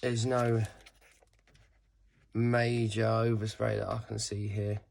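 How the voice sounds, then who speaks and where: A man's voice: on the low side, flat delivery, slow, gravelly, a little mellow, a little hiss or hum. A man with a stuffy nose from a cold, casually rambling through a how-to tutorial and swearing now and then. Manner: rambling, swears casually, corrects himself mid-sentence. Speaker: sneaker customizer.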